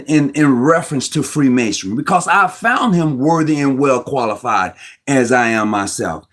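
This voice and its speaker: A man hosting a casual discussion about Freemasonry, talking emphatically and often restarting his words as he argues. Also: fairly high pitch. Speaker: host.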